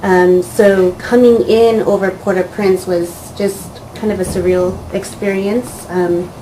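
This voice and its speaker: A woman, a volunteer doctor, recounting her experience in a measured way with frequent "um". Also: medium pitch, low-quality recording. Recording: steady background hiss.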